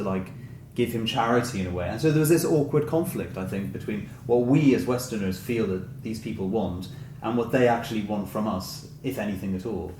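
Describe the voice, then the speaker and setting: Low-voiced man in an echoey room. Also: warm tone. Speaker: interviewed author. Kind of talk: reflective interview talk. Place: indoor room with echo. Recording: echoey room, some background noise.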